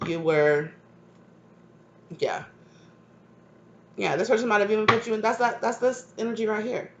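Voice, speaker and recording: A woman's voice: medium pitch, moderate pace, muffled recording, a little hiss or hum. A woman with a stuffed-up voice from a cold, giving a channeled tarot reading to her viewers with affectionate asides and repeated "right?" tags. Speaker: tarot reader.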